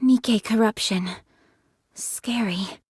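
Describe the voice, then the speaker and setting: Compassionate game squadmate, young female, breathy, higher pitch, composed, protective, dry recording. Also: very slow, a little mellow, no room sound, exaggerated voice. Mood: subdued. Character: compassionate, level-headed young woman soldier, protective. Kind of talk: dramatic game voice acting.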